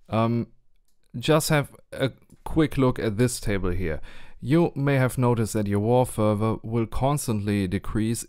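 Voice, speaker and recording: Man with a German accent, lower voice, methodical tutorial delivery with hesitant fillers, dry close mic. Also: darkish tone, no room sound. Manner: careful, explanatory, with frequent ums and restarts. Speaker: game tutorial creator. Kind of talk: strategy game tutorial narration.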